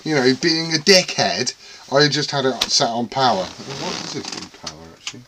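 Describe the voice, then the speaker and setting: A man's voice: mid-range voice, animated, normal speed, very noisy. A British man vlogging casually from his radio shack, rambling, swearing freely and poking fun at himself. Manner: sweary, self-deprecating, rambling. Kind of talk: casual rambling chat to camera.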